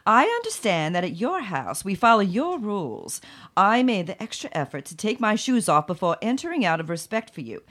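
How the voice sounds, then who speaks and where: A prim, self-righteous mother played by a woman in a comic audio drama. She speaks with formal, measured politeness, her pitch moving expressively beneath the indignant propriety. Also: sing-song, a bit bright. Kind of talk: scripted comedy audio drama.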